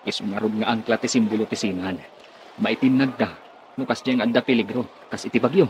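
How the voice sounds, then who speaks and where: A man's voice: fairly low pitch, steady tone, fairly quick, a little hiss or hum, close to an everyday voice. An actor playing a seasoned, wary merchant seaman in a radio drama, talking earnestly as a comrade. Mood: grave, wary.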